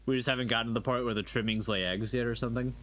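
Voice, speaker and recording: A man's voice: medium pitch, fairly even intonation, racing, a bit bright, slight background noise. A man streaming casual reaction commentary, rambling and playful, often questioning what he watches. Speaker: streamer reacting to horror videos.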